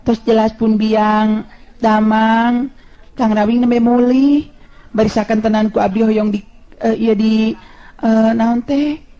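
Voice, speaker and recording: A woman voicing a polite, demure and grateful young village woman in a storytelling, gentle and deferential, on a dull recording. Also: a little high, natural voice. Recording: dull, lo-fi sound.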